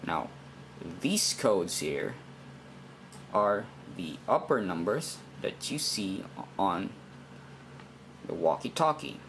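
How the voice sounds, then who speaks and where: A man with a fairly low voice walks through instructions very slowly. He is deliberate and halting, circling back to repeat himself in an informal teaching style. Faint noise sits behind the recording. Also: very animated, a bit bright. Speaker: instructor in a how-to video. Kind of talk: informal step-by-step tutorial.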